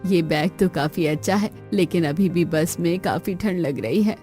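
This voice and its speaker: A woman's voice: on the low side, moderate pace, dark tone, soft background music, pitched below the performer's own voice, lightly acted. A woman voicing a homely older mother-in-law in a cartoon story. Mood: mildly complaining.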